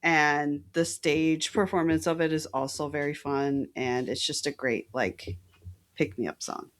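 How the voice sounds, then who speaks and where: A Mexican woman co-hosting a casual podcast, with a very low voice for a woman. She speaks slowly and with relaxed enthusiasm, often teasing herself. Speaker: podcast co-host. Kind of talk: casual chat.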